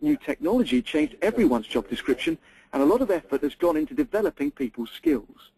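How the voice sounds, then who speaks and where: A man's voice: fairly high pitch, sing-song, fairly quick, creaky, warm tone, slightly rough, low-quality recording. A man reading a scripted documentary voice-over.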